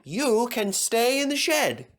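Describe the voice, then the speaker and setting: A man's voice: very high-pitched, big pitch swings, fairly bright tone, voice pushed up, full character voice. A man doing a grave, proper railway manager. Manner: grave, measured and formal. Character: grave, kindly middle-aged railway manager.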